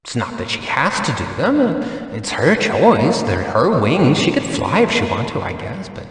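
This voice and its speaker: Cheeky, swooping-voiced young rogue. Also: male voice, medium pitch, wildly swooping pitch, moderate pace, a bit bright, husky, no room sound, exaggerated voice. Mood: cheeky, offhand. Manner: glib, slangy, teasing. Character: cheeky flippant young rogue, laid-back smart aleck. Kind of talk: English game dub voice acting.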